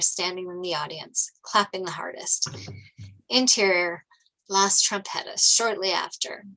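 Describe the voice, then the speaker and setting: A woman's voice: mid-range voice, pushed and bright, rough recording. A woman reading screenplay stage directions as scripted narration.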